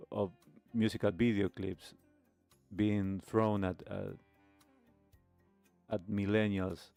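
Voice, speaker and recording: A man hosting a talk show, giving commentary on a video in measured, hesitant phrasing. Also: fairly low pitch, creaky, darkish tone, clear, resonant tone, no room sound. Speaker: host of a nostalgia talk show.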